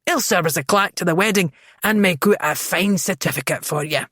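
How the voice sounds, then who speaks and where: A woman's voice: fairly low pitch, fairly quick, a bit bright, dry recording. Woman performing a jolly Scottish innkeeper, thick burr, boisterous and eager, dry close sound.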